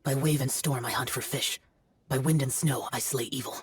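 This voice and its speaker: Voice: medium pitch, steady tone, moderate pace, slight background noise, close to an everyday voice. An actor playing a stern, aloof, youthful immortal demon slayer in a video game, speaking solemnly and tersely.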